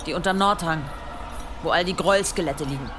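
A woman's voice: fairly low pitch, moderate pace, background noise, natural voice. A woman playing a defiant, strong-willed village headwoman in a German audio drama, determined and intense.

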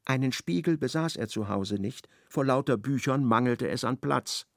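A man narrating a radio play in a measured, ironic storytelling manner. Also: mid-range voice, gravelly, dry recording, studio quality.

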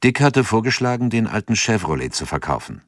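A man narrating a radio drama in a measured, grave tone. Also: fairly low pitch, sing-song, no room sound, clean studio sound. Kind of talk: scripted narration.

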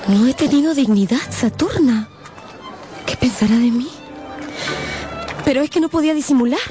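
A woman's voice: sits high, moderate pace, mellow, faint music behind, natural voice. An actress playing an impulsive, naive young woman in love in a radio novel, dreamy, sighing and melodramatic. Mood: excited confession.

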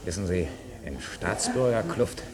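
An actor playing a vain young aristocratic guards officer, clipped and haughty, in a 1950s German radio drama. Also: male voice, low voice, expressive, measured pace. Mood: self-important. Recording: old radio recording, crowd noise behind.

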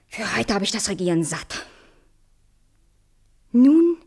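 A woman's voice: a little high, a little mellow. A woman playing a vain, bossy and dreamy young queen in a theatrical fairy-tale radio play. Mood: weary, sighing. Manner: haughty, imperious, dramatic.

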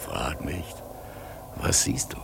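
An actor playing a solemn, mysterious talking raven in a radio play, with a husky, gravelly voice and a slow delivery. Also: male voice, background noise, lightly acted. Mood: hushed, mysterious. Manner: slow, solemn, sometimes calling out or whispering. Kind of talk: German radio play acting.

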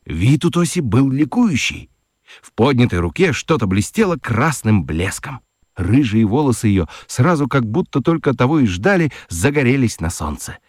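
A man narrating a children's radio play as an expressive, dramatic storyteller. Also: medium pitch, normal speed, husky, no room sound.

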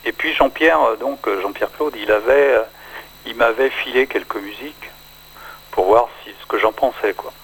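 An older man, a singer-songwriter, talking in a relaxed radio interview, hesitant and full of fillers. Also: mid-range voice, vocal fry, breathy edge, low-quality recording. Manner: hesitant, many pauses and fillers.

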